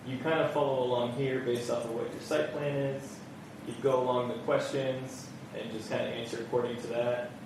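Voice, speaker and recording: A man's voice: mid-range voice, little pitch movement, moderate pace. A man on permit staff walking an audience through building forms, in a casual manner that leans on "kind of". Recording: echoey room, heard from far off, background noise.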